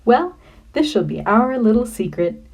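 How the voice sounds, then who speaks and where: A woman's voice: a little high, sing-song, measured pace, vocal fry, darkish tone, breathy edge, heavily acted. A woman voicing a jolly, sly storybook king with a grand, coaxing, knowing manner. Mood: pleased wonder.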